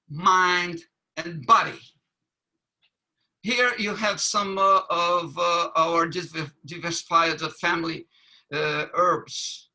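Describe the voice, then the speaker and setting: Man, webinar talk on a computer mic, slow, hesitant, bright tone. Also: high-pitched. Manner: halting, many fillers and restarts. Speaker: business presenter. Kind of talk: webinar presentation.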